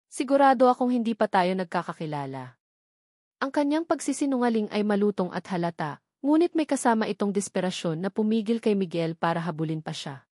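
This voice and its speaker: A woman narrating a scripted romance drama in an expressive, melodramatic storytelling manner. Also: mid-range voice, no echo, clean studio sound.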